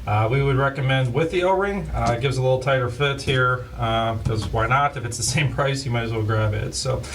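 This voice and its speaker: A man's voice: mid-range voice, fairly quick. Man demoing products from a bit away. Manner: relaxed, filler-heavy, plain explaining. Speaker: host of a scanner shop's product videos. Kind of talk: casual product demo. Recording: voice a bit far from the mic, faint hiss.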